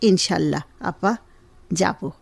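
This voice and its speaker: A woman acting a gentle, grateful, affectionate wife who is convalescing, in a Bengali radio drama. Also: fairly low pitch, slow, gravelly, husky, no echo, full character voice. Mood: courteous, insistent.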